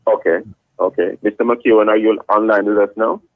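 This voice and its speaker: A man's voice: fairly low pitch, steady tone, brisk, dry recording, narrow, tinny audio. A male radio morning show host, interviewing a guest politely and formally, calling him sir and restarting his sentences often. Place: radio studio.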